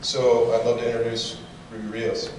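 A middle-aged man introducing the next speaker in a large auditorium, relaxed and rambling with lots of fillers. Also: mid-range voice, moderate pace, background noise. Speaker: event organizer introducing a speaker. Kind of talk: casual introduction. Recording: echoey hall from far off.

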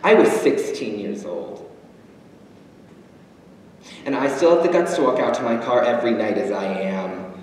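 A young man performing a theatrical monologue as a proud, wounded, defiant drag queen, with deliberate, cutting delivery and dramatic pauses. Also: medium pitch, expressive, a little mellow, lightly acted. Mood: grim, defiant. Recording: distant, noisy, very echoey auditorium recording.

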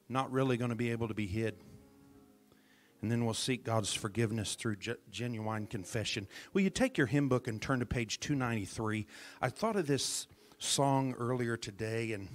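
A man preaching a sermon as minister at a church service, dramatic, dropping his voice to near whispers for emphasis and calling out to the congregation. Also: medium pitch. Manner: calls out to the congregation.

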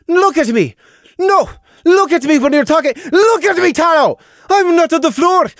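Man doing a shrill, bitter young mare. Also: expressive, moderate pace, no echo, clean studio sound. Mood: furious. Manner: shrill, snapping and shouting. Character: young mare, bitter, hurt and sharp-tongued.

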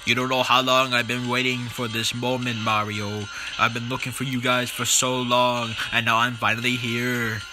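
A man's voice: mid-range voice, level tone, normal speed, few pauses, music in the background, natural voice. A man voicing a bitter green dinosaur as a pompous, menacing cartoon villain in an amateur game parody.

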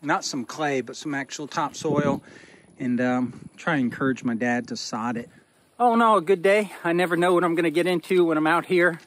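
A man's voice: a little high, moderate pace, a bit bright, a little hiss or hum. A middle-aged man on a rural homestead, talking plainly and thinking aloud as he films a walk-around on his phone. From Northwest Florida. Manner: folksy, plainspoken, thinking aloud with small restarts. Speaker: homestead vlogger. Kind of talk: casual walk-around narration. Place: outdoors on a rural homestead by a pole barn.

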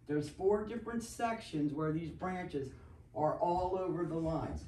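A woman's voice: on the low side, measured pace, mellow, a little hiss or hum. A woman resident making a detailed, insistent complaint during public comment at a council meeting. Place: township council meeting room. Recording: echoey meeting room, far mic.

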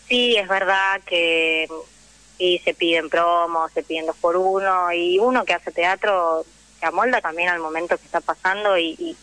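A woman with an Argentine Rioplatense accent, explaining things in an animated, conversational way as a guest in a radio interview. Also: lowish voice, low-quality recording. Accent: Argentine Rioplatense Spanish. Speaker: interview guest, actress and theatre teacher.

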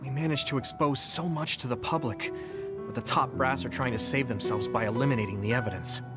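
Man to play kind young anime soldier lad, medium pitch, steadfast, earnest delivery. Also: a little fast, over loud music, lightly acted. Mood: earnest, supportive. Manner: earnest, reassuring. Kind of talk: anime game voice acting.

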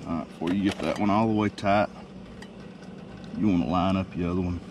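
A man, casually explaining a how-to job in a driveway with a relaxed, folksy manner and many fillers. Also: low voice, brisk. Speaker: DIY video host. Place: outdoors in a driveway. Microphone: camera mic. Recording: distant outdoor camera mic with noise.

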